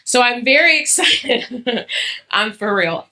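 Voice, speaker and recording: An energetic woman hosts a business event and rallies the audience between announcements. Her tone is bright and sharp. She keeps her delivery upbeat throughout. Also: on the high side, slow pace. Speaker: event host. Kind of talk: hyping up a crowd. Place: conference hall.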